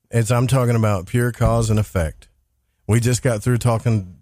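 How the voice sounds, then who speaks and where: A man hosting a talk podcast, chatting in a rambling, monotone way. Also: lowish voice, no echo, studio quality. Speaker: podcast host. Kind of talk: casual interview chat.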